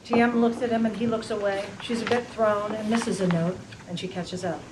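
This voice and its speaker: A woman reading stage directions aloud in a brisk, matter-of-fact way. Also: medium pitch, steady tone. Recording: distant over loud crowd and noise.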